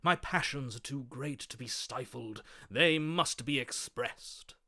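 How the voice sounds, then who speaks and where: An actor playing a gallant, lovestruck romantic in a video game, florid, vain and grandly theatrical. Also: male voice, medium pitch, slow, sharp tone, exaggerated voice. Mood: passionate. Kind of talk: video game character acting.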